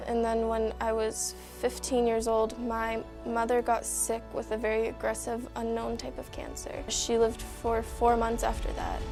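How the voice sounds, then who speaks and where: A woman's voice: on the high side, gravelly. A nineteen-year-old woman with a Canadian accent, giving a plain-spoken personal testimonial.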